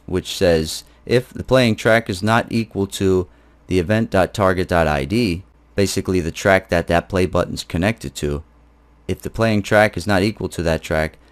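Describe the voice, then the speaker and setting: A man's voice: deep voice, moderate pace. A man teaching an informal screencast programming tutorial, explaining step by step in a casual, blunt, conversational way.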